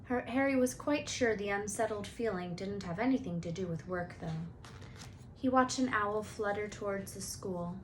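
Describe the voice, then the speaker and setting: Female read-aloud storyteller, medium pitch, expressive narration, faint background noise. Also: normal speed, poor audio.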